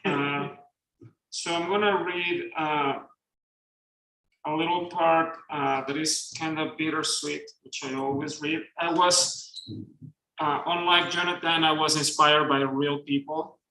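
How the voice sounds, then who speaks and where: A man with a Mexican accent chats about his novel at a reading, his high voice bright and his pace slow. He talks softly, with a lot of restarts. The room around him echoes. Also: steady tone. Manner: halting, restarts a lot, soft-spoken. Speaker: author. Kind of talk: casual talk at a book reading. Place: bookstore event space.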